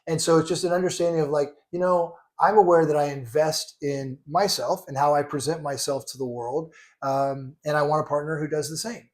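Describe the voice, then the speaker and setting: A man's voice: fairly high pitch, fairly even intonation, fairly quick. A man co-hosting a casual chat, earnestly clarifying points and often restarting his sentences. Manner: earnest, stresses being clear, restarts often.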